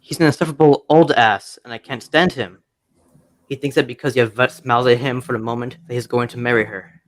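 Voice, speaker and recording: An actor playing a petulant, jealous young English aristocrat, curt and sulky, in a radio drama. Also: male voice, medium pitch, fairly even intonation, a little fast, no echo, lightly acted, low-quality recording. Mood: resentful.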